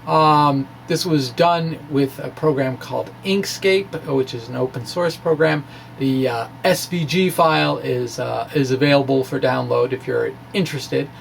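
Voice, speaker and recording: A man giving an informal science explanation in a halting way, as if thinking aloud. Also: medium pitch, gravelly, a little hiss or hum. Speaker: amateur scientist presenting his blog.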